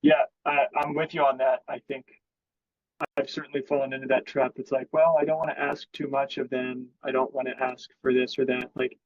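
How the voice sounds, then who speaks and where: A man's voice: medium pitch, flat delivery, a little fast, no room sound, muffled recording. A man speaking as an interview guest in sales, explaining his thinking in a casual business conversation.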